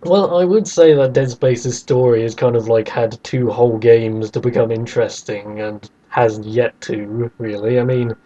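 A man's voice: medium pitch, steady tone, moderate pace, hardly any pauses, dark tone, muffled recording. A man co-hosting a podcast, debating casually in a wry, sceptical way. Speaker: podcast co-host.

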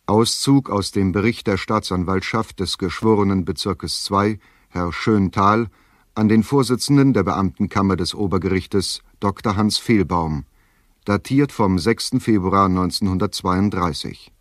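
Low-voiced male narrator, dry sound. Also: a little slow, no echo. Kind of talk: formal scripted announcement in an audio drama.

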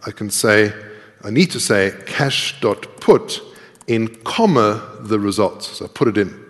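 A man's voice: fairly low pitch, fairly bright tone. A middle-aged man giving an informal live coding talk, thinking aloud as he types and poking fun at himself. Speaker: conference speaker, programmer. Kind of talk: live coding demo talk, explaining while typing. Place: large tiered lecture hall, indoors. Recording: reverberant lecture hall.

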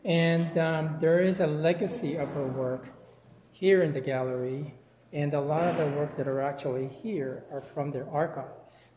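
A man's voice: fairly high pitch, unhurried, noisy background. A man from the Philippines whose first language is Tagalog, hosting a casual walking vlog about contemporary art, rambling with many fillers as he tours a campus and its gallery. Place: outdoor campus plaza, then indoor gallery.

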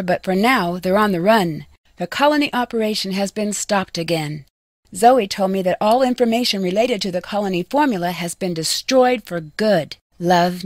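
A young woman playing an inquisitive, earnest young female detective in a video game, speaking in a clear, measured way. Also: mid-range voice, no room sound, studio quality, lightly acted. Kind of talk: scripted video game voice acting.

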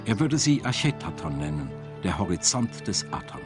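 A man reading scripted documentary narration in a measured storytelling manner. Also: fairly low pitch, big pitch swings. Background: background music.

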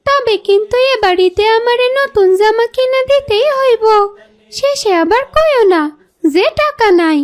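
A woman's voice: extremely high pitch, a little slow. A woman voicing an eager, affectionate young village girl in a cartoon, with a Bangladeshi accent and an insistent rural way of talking. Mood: eager, reassuring. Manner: excited, pleading, rural dialect. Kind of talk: cartoon voice acting.

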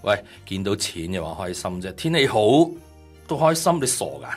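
A man with a Hong Kong Cantonese accent playing a stingy, sharp-tongued middle-aged boss in a radio drama. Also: low voice, sing-song, moderate pace. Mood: brusque. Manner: brusque, dismissive, stammers when frightened. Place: small padded radio studio. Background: music.

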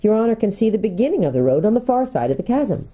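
A woman's voice: mid-range voice, very animated, fast, warm tone, husky, voice pushed up. Lady doing a little gnome guy from a storybook, eager n polite, full of wonder, voice goes up and down a lot. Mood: helpful, excited. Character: small excitable male gnome, eager and deferential.

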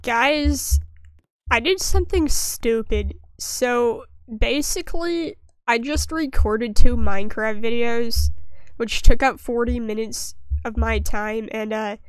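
A woman's voice: a little high, slow pace, clean tone, no echo, poor audio. A young woman getting over a sniffly cold, giving rambling, self-deprecating gameplay commentary with dry humour in a casual way. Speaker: gaming video creator.

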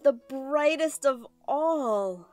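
A woman's voice: sits high, expressive, very slow, darkish tone, no room sound, soft background music, full character voice. A woman acting a dreamy, timid, awestruck sweetheart with breathless, melodramatic delivery in a 1930s radio drama style. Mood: dreamy wonder.

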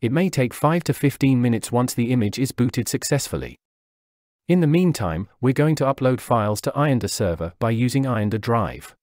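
A man narrating a scripted software tutorial, walking through each step in a steady, instructional way. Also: mid-range voice, a little fast, dry recording, studio quality. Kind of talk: scripted software walkthrough voice-over. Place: voice-over over screen recording.

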